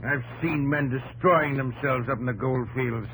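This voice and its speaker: An actor playing a fiery American frontier newspaper publisher and vigilante leader, blustery and folksy, in a 1950s radio drama. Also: male voice, medium pitch, normal speed, fairly bright tone, lightly acted. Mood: indignant. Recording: noisy old radio broadcast with hum.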